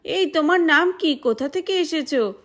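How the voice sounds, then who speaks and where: Kind, humble village heroine for a doll story woman performer: high-pitched, caring delivery. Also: animated, normal speed. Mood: curious, caring. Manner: gentle, reassuring, caring.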